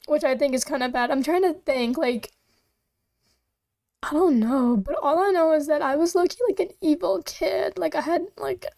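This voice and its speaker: A young woman from Pakistan talks alone into her own microphone, hosting a casual podcast. Her voice sits high. She rambles candidly and fills every pause with "like" and "um". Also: creaky, a little mellow. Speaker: podcast host. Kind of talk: casual solo chat.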